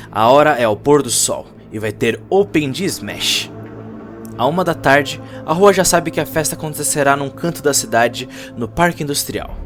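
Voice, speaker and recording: Brazilian man, theatrical narrator over prominent music. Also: mid-range voice. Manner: theatrical, deliberate, short emphatic phrases. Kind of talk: dramatic scripted audiodrama narration.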